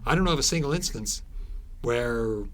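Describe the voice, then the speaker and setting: A man being interviewed as an author, telling stories conversationally in halting, explanatory phrases with thoughtful pauses. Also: mid-range voice, lively intonation, fairly bright tone.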